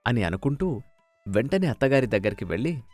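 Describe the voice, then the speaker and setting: Animated male storyteller over music. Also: mid-range voice, fairly quick, no room sound. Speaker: story narrator. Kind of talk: scripted moral-story narration.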